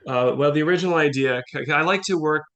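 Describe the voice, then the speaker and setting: A man chatting casually as a playwright guest in an interview, thinking aloud with halting restarts. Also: on the high side, fairly bright tone, highs cut off.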